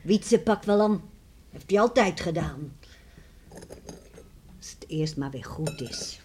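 A woman's voice: on the low side, animated, a little mellow. Patient, homely farm mother. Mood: soothing, practical. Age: middle-aged. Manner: soothing, homely, measured. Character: patient, caring farmer's wife and mother, gently practical. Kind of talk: Dutch radio play acting. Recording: noisy old radio play recording.

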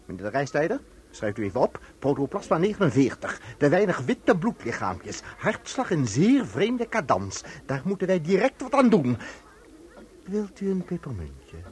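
Erratic officious inspector, wildly swooping voice. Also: male voice, on the high side, huge pitch swings, moderate pace. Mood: clinical, oily. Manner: bullying, lurching from soothing to hectoring. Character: erratic, officious male inspector of lost souls, mad doctor. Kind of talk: absurdist Dutch radio play acting.

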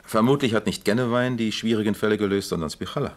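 An actor playing a shrewd, dryly amused police captain who probes with questions in a radio crime drama. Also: male voice, lowish voice, fast, lightly acted. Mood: wryly amused.

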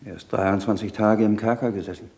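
An actor playing an anxious, loyal disciple of a condemned scientist in a German radio play, speaking gravely and with measure. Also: male voice, lowish voice, steady tone, brisk, darkish tone, lightly acted. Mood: grave, reflective.

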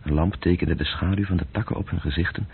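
A man narrating a literary radio drama in a measured, descriptive way, with a deep, gravelly voice. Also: slight background noise. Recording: muffled narrow-band radio play recording.

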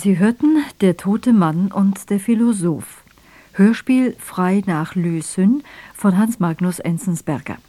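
A woman reading scripted radio credits in a measured, formal announcer's manner. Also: mid-range voice, lively intonation, dark tone, no echo. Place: dry radio studio.

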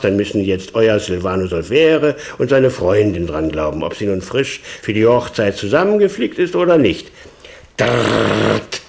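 An actor playing a cynical, blunt police chief in a German radio crime drama, sardonic and emphatic. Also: male voice, on the low side. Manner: sardonic, emphatic, swinging from muttered to loud.

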